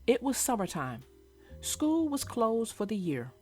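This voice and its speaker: A woman hosts and narrates a children's read-aloud. Her voice is fairly high and very expressive, speaking straight to young listeners, with gentle music underneath. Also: unhurried. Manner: clear storytelling, addressing young listeners. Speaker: storybook narrator and host.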